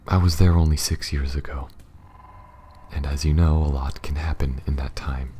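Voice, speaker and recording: Very deep, mellow alien smuggler, voiced by a man. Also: a little hiss or hum. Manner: low-key, hesitant, speaking directly to one listener. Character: gruff, guarded male alien smuggler, awkwardly protective. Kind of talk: ASMR roleplay audio drama.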